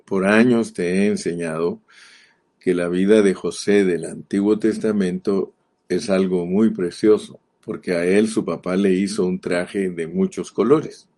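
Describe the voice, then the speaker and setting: Deep-voiced Guatemalan preacher, emphatic. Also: male voice, steady tone, warm tone. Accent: Guatemalan Spanish. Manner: earnest, emphatic, repeats key words, slows down to stress them. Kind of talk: sermon.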